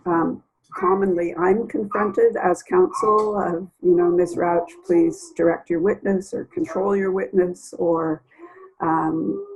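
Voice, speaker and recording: Female counsel, slightly low and raspy, measured and thoughtful interview delivery with pauses. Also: darkish tone, low-quality recording. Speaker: lawyer. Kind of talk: reflective interview.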